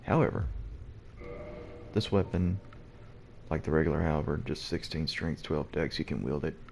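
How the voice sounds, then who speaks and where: Very deep, gravelly, hushed male gamer. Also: moderate pace. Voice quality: deep and low. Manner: quiet, hushed so as not to wake the house. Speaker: let's play host. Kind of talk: gameplay commentary. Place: at home early in the morning. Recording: noisy background hiss.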